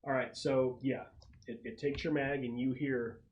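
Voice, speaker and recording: A man running a tabletop roleplaying game as game master, narrating action and explaining rules in an informal, halting way. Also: mid-range voice, steady tone, dull audio. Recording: clear background noise.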